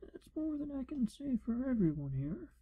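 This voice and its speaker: A man playing a pompous, evasive wizard proctor in an ASMR fantasy role play, speaking in a hushed, breathy half-whisper. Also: very high-pitched, big pitch swings, moderate pace, narrow, tinny audio, voice pushed up, full character voice. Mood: falsely reassuring. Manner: pompous, wry, evasive, theatrical storytelling. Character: pompous, shifty male wizard proctor giving an exam.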